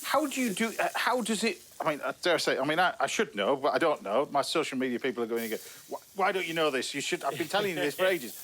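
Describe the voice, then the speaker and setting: A man's voice: fairly high pitch, very animated, fast talker, few pauses, a bit bright. A man with an English accent hosting a cookery show, chatty and jokey as he cooks and talks with a guest. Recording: music playing behind.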